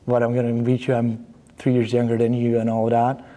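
A young man from Bulgaria, a student athlete answering interview questions in a studio, relaxed and a bit halting, with fillers and restarts. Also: mid-range voice. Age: young adult. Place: studio in front of a banner.

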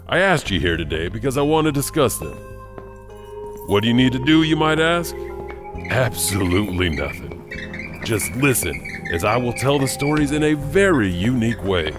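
A man plays an eerie, chatty janitor hosting horror tales. His low voice swings up and down with folksy, dark playfulness, over clearly audible music. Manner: rambling, folksy, darkly playful. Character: chatty, eerie, friendly male janitor storyteller. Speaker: host. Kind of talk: theatrical horror anthology narration.

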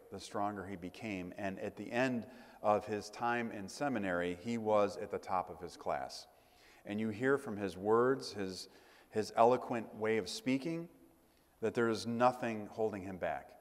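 A middle-aged man, a priest, giving a conversational sermon in a church, often pausing with fillers and restarting phrases. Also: on the low side. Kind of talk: sermon to a congregation. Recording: long church reverb.

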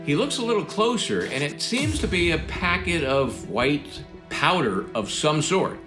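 A man's voice: a little high, very animated, measured pace. A man giving commentary on a true-crime documentary. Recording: music underneath.

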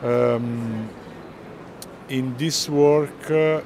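A middle-aged man with an Italian accent explaining artworks to visitors, pausing often to search for words. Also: mid-range voice. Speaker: art gallerist presenting works. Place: large indoor art fair hall. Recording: busy hall crowd noise.

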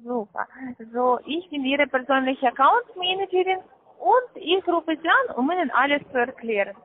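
A woman with a foreign accent giving a sales pitch over the phone, in halting, careful non-native phrasing. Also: expressive, slight background noise. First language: not German. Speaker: call centre account manager. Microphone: telephone. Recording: muffled narrow-band phone line.

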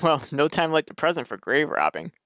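An actor playing a loyal but incredulous friend in a radio mystery, excitable and wry. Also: male voice, fairly high pitch, fairly quick, no room sound. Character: incredulous, loyal friend drawn into grave robbing. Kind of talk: radio mystery drama acting.

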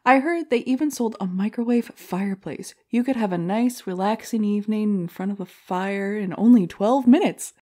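A woman's voice: mid-range voice, normal speed, few pauses, dark tone, natural voice. Brash, self-deprecating stand-up comedienne played by a woman. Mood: chatty, incredulous. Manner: jokey, rhetorical, punchline-driven delivery. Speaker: comedy contest contestant. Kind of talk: stand-up comedy routine in an audio drama.

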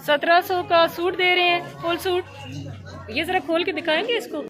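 A woman vlogger from Pakistan asking a seller about fabric prices in a cloth shop, with brisk questions and quick agreeing replies. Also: extremely high pitch, steady tone. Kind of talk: casual shopping chat with a seller. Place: crowded cloth shop in a market. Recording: busy market crowd behind.

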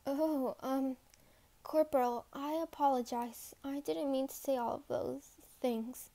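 Young female cadet, acted by a woman narrator, high voice, defiant yet flustered, storytelling drama. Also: steady tone, slow, warm tone. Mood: flustered, apologetic. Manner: stammering when flustered, openly emotional.

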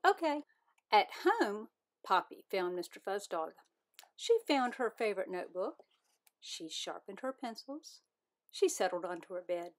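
A woman reading her children's story aloud as its author, in expressive storybook narration. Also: medium pitch, measured pace.

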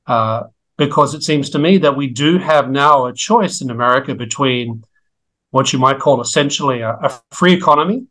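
A middle-aged man with an Australian accent, a guest economist speaking in a measured, articulate way on a video call from a home study. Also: a little high, a bit bright, poor audio. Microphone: video call webcam.

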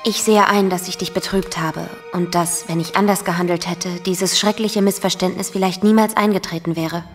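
A woman acts a sorrowful young niece in a radio play. Her voice sits a little low, earnest and tender as she recites. Soft music runs underneath. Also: steady tone, normal speed, close to an everyday voice. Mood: sorrowful, resolute. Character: sorrowful devoted young niece reading her farewell letter. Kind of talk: German audio drama monologue.

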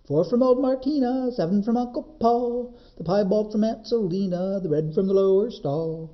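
A man's voice: sits high, normal speed, dull audio, voice pushed up, lightly acted. A man voicing a young goatherd boy in a storybook, urgently calling out to his animals. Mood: counting, singsong.

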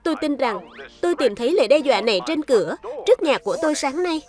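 Woman voicing a high-pitched elderly male antiques collector. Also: big pitch swings, fast, darkish tone. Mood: worried. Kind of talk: cartoon dubbing. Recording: background music under the voice.

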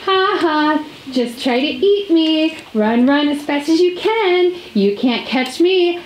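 Woman playing a high, bragging little cookie. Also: expressive, slow pace, a little hiss or hum. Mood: boastful. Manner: shrill, boastful, whiny. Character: boastful little sugar cookie, male. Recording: echoey room.

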